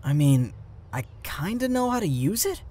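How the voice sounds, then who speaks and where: A performer voicing a self-conscious, frustrated teenage goat monster boy in a comic dub, expressive and self-deprecating. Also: medium pitch, moderate pace. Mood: hesitant.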